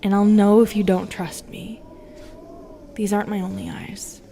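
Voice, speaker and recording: A woman acts out a lonely female Medusa, wounded and wary, in an audio roleplay. She speaks slowly in a mid-pitched, breathy voice that often sinks to a whisper, halting as she goes, over faint background ambience. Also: little pitch movement, background noise. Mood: guarded, cautious. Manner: hesitant, halting, soft and breathy.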